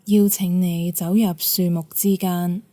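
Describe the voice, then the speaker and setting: A woman leads a guided meditation as an instructor, calm and measured, giving gentle instructions with pauses. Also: fairly low pitch, no echo.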